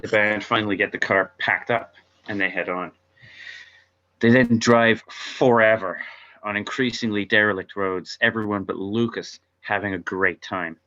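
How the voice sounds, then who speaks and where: A man's voice: fairly low pitch, fairly even intonation, normal speed, bright, forceful tone, lo-fi sound. A man giving scripted narration, reading out stage directions at an informal table read.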